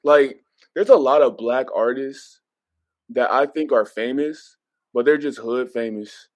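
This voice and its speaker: A man with a medium-pitched voice chats on a group podcast. He is casual and slangy, making his points emphatically as if telling a story. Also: unhurried, darkish tone, muffled recording. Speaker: podcast panelist.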